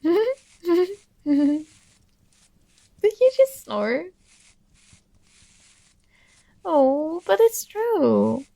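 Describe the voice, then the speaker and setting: A woman playing a possessive but tender dream princess in an ASMR roleplay, coaxing and gently commanding, close to the microphone. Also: extremely high pitch, very animated, takes it slowly, creaky, dry recording, full character voice. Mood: playful, doting. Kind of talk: ASMR roleplay, soothing intimate monologue.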